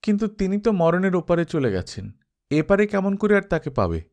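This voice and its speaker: A man's voice: high voice, sing-song, brisk, a little mellow, voice pushed up. Man reading as a naive, bewildered young man. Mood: bewildered, questioning. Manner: earnest, questioning. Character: naive, bewildered young brother-in-law, earnest and curious. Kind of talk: dramatised audiobook reading.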